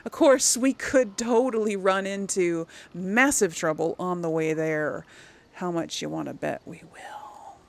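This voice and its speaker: A woman's voice: lowish voice, a little slow, gravelly. A woman giving casual, rambling commentary on a video game, thinking aloud to her viewers. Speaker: host of a video game let's play.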